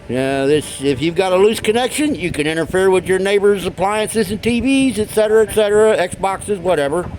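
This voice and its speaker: A middle-aged American man with a slightly high-set voice talks through a practical explanation. He is informal and folksy, speaking casually as he demonstrates at a workbench. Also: a little fast, few pauses, darkish tone, faint music behind, rough recording. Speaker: hobbyist giving radio tips. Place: indoors at a wooden workbench.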